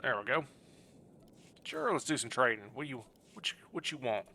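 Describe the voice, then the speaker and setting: A man's voice: mid-range voice, normal speed, bright, forceful tone, slight background noise. A man livestreaming a game, chatting casually in a friendly, rambling way as he thinks aloud. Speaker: game streamer. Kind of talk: casual livestream chat over gameplay.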